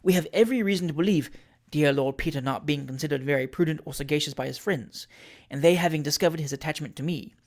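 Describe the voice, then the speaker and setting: A narrator acting out a rich lady of forty in a period storybook. She is cool and scheming, speaking quietly in a measured, dry, imperious way. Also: mid-range voice, lightly acted.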